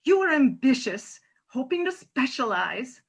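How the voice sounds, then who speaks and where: A woman's voice: sits high, big pitch swings, a little slow, gravelly, slightly rough, heavily acted. A woman playing a frank, middle-aged former nurse, pointed and teasing yet earnest, in a comic stage play reading. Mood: earnest, wistful.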